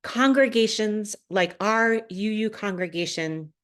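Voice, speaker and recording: A woman minister delivering a prepared sermon to a congregation, phrasing deliberately and pausing for emphasis. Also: medium pitch.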